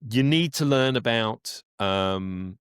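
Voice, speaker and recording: An older British man with a fairly low voice talks casually as an interview guest. He explains things practically, restarting and rephrasing as he goes. The recording is close and dry, with very little room sound. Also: animated, slow, clear, resonant tone, no room sound.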